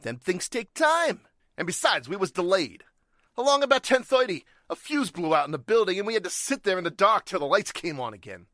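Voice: medium pitch, big pitch swings, brisk, a bit bright, no echo, studio quality. An actor playing a shady, menacing crook with slangy, ungrammatical speech in a 1940s-style radio drama. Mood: evasive. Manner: slangy, ungrammatical tough talk.

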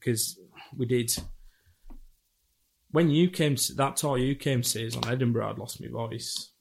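Casting: gravelly, husky-voiced man, 30s, laid-back podcast guest who swears casually. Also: medium pitch, unhurried. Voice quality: raspy, gravelly. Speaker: podcast guest, band vocalist. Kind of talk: casual chat.

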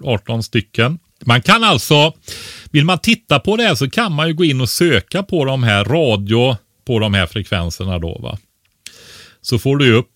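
A man's voice: mid-range voice, fairly bright tone, no room sound, clean studio sound. A man co-hosting a podcast, explaining things casually and didactically, often tagging his statements with a short questioning word.

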